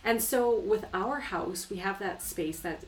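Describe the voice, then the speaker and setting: A woman's voice: medium pitch, a little slow, low-quality recording. A middle-aged woman chatting casually as a podcast guest, agreeable, informal and enthusiastic. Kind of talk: casual chat.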